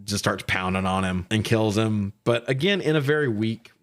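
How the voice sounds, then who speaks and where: A man's voice: fairly low pitch, expressive, moderate pace. A man co-hosting a podcast, casually recapping a film in a sweary, jokey way. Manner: sweary, jokey, mimics characters' lines. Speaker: podcast co-host.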